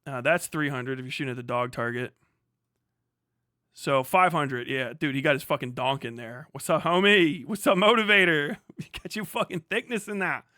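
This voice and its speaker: A man's voice: a little high, normal speed, sharp tone, no room sound, clean studio sound. A middle-aged man giving casual, rambling reaction commentary as a livestream host, full of slang and swearing. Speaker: livestream host, former Marine.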